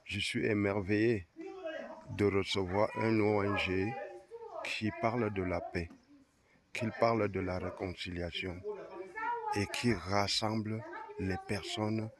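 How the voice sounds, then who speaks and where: A man's voice: on the low side, fairly even intonation, takes it slowly, long pauses. A man giving formal remarks at an event. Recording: crowd noise behind.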